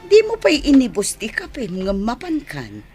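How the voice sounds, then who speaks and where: An actress with a Filipino accent playing a caring but nagging wife in a radio drama, husky and scolding. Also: female voice, fairly high pitch, wildly swooping pitch, measured pace, warm tone, faint music behind. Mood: nagging concern. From Philippines. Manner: scolding, concerned. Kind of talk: Philippine radio drama acting.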